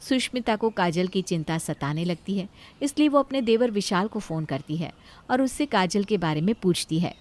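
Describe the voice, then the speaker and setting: A woman's voice: mid-range voice, animated, normal speed, darkish tone. A woman reading a scripted moral family story as the storyteller.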